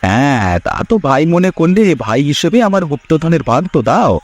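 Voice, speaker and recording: Greedy village schemer played by a man, quick, insistent, needling, cartoon delivery over soft music. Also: fairly high pitch, sing-song. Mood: threatening. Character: greedy, pushy younger village schemer demanding his share. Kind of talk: cartoon fairy tale voice acting.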